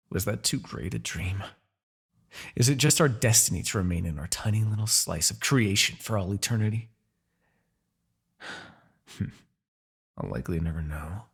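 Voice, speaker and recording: A man playing a proud, vengeful demon king in a dramatic fantasy audio roleplay, with a husky, gravelly voice and a theatrical, gloating manner. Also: sits low, expressive, moderate pace, pauses often, full character voice. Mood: bitter, brooding. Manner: theatrical, gloating, with sighs and dark chuckles.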